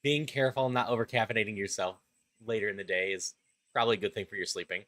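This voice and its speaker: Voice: medium pitch, fast talker, a bit bright, dry recording. A game streamer narrating on-screen text and chatting casually with viewers. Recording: background music behind the voice.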